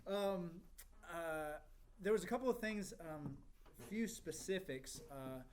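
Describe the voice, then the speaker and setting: A man with a slightly high-pitched voice gives informal critique to a group. He thinks aloud, hesitating and breaking his phrases as he works toward each point. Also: expressive, slow pace, slight background noise. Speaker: feedback giver at a screenplay table read. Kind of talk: casual spoken critique in a group discussion.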